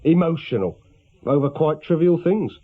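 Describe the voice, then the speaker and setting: A man's voice: a little high, lively intonation, moderate pace, narrow, tinny audio. A man playing a plain-spoken, deferential police sergeant with an English accent, reporting a little hesitantly in a radio crime drama. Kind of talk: naturalistic British radio crime drama acting. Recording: thin, band-limited radio drama recording.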